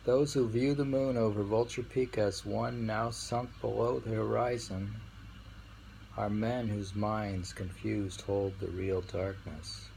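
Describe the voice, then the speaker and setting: Male poetry reader, gravelly and very unhurried, informal and unrehearsed, faint ambient noise behind. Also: fairly low pitch, little pitch movement, a little mellow, poor audio. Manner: unrehearsed, halting reading. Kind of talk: poetry reading with informal discussion.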